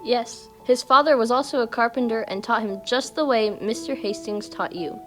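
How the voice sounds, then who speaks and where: A woman's voice: fairly high pitch, normal speed, faint music behind, natural voice. A woman playing a tender, reassuring mother of a young boy in a children's puppet show.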